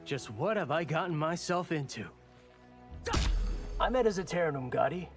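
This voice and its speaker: A man's voice: on the high side, expressive, normal speed, over music. A man voicing a cocky, wry young fighter who trades casual quips in video game dialogue. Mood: casual, dry.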